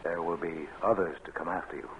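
An actor in an old-time radio drama playing a stern secret commander of prison camp escapes, grave and authoritative, on a hissy vintage recording. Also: male voice, sits low, fairly even intonation, a little fast, a bit bright, low-quality recording. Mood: grave, quiet.

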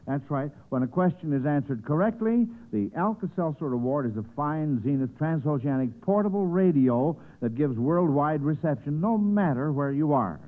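A man's voice: a little high, animated, normal speed, hardly any pauses. An American man hosting an old-time radio quiz show in a folksy, hesitant, encouraging way, reading questions and sponsor messages. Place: radio studio. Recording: tinny band-limited vintage radio broadcast.

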